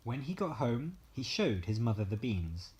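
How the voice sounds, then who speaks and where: A man narrating a bedtime story as an expressive storyteller. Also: medium pitch, normal speed, a little hiss or hum.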